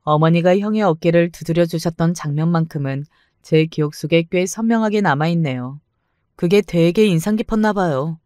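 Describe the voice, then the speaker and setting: A man narrating a first-person family story in a calm, even scripted manner. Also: fairly high pitch, moderate pace, clean tone, dry recording, studio quality.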